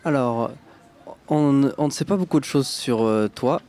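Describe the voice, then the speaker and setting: A man's voice: medium pitch, measured pace, darkish tone. A man interviewing a guest on the radio, asking informal questions with some hesitation.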